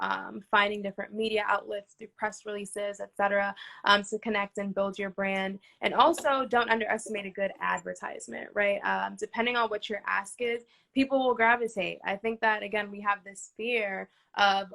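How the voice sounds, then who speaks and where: Woman entrepreneur, interview guest, creaky voice, earnest and filler-heavy. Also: mid-range voice, a bit bright. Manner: earnest, frequent "um" fillers and "right?" tags. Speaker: entrepreneur and nonprofit founder. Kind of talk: interview guest answering questions.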